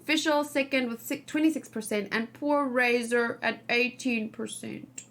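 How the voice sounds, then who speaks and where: High-pitched woman chatting casually on stream. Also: fairly even intonation, unhurried, a bit bright. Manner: informal, rambling, thinking aloud. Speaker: gaming streamer and host. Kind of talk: casual chatty let's play commentary.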